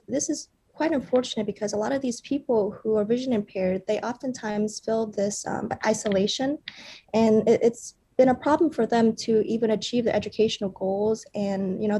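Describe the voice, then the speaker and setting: A young woman from Vietnam, a startup founder, gives an earnest pitch with frequent fillers. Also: mellow, breathy edge. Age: young adult.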